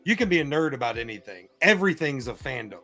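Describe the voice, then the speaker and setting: A man's voice: mid-range voice, sing-song, fast talker, sharp tone. A man hosting a casual panel chat on a live stream in a jokey, rambling way. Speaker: podcast host.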